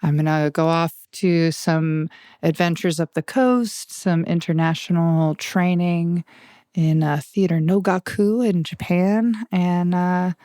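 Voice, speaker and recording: A player on a tabletop game podcast, joking in a deadpan way. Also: male voice, high-pitched, slow pace, clear, resonant tone, no room sound, clean studio sound. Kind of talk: casual podcast chat.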